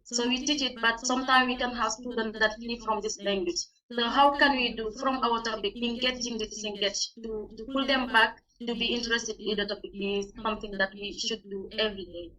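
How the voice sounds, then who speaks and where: A woman from Senegal with a Senegalese accent, a non-native English speaker, gives a halting, informal presentation over an online meeting as a teacher on a panel. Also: a little high, steady tone, a crowd in the background. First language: not English. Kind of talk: informal spoken presentation of own experience. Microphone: video call. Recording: distant online meeting microphone.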